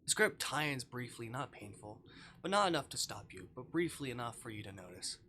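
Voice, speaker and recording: A man's voice: medium pitch, big pitch swings, fairly quick, bright, forceful tone, a little hiss or hum. A man reading a visual novel aloud on a live stream, narrating dramatically and adding casual asides.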